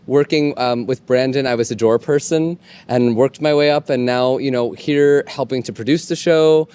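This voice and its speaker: A man's voice: medium pitch, fairly even intonation, normal speed, a little mellow, smooth. A man from New Jersey who produces a show, talking in an easygoing, chatty way in an interview. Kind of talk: casual interview chat.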